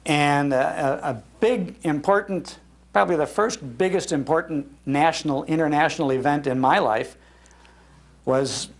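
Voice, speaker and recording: Older Midwestern man with a creaky voice. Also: fairly high pitch. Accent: American Midwestern. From Chicago, United States. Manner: folksy, animated, chuckling, repeats phrases for emphasis. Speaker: speaker giving a talk on old-time radio. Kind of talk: nostalgic storytelling to a live audience. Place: public library, live talk.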